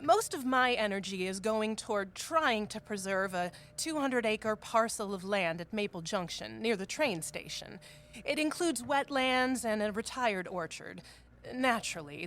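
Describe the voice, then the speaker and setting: A woman's voice: medium pitch, animated, normal speed, a bit bright. An actress playing a busy, matter-of-fact female office boss in an audio drama. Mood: businesslike, harried. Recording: faint background ambience noise.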